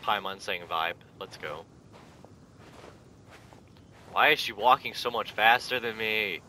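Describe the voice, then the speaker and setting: A man's voice: fairly low pitch, slow pace, bright, forceful tone, a little hiss or hum. A man giving casual commentary as the host of a gaming video.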